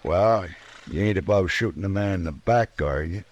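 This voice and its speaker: Defiant, bitter frontier drifter. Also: male voice, sits low, normal speed, highs cut off. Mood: sardonic. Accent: American. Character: defiant, bitter accused horse thief, Old West. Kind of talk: 1950s radio western acting.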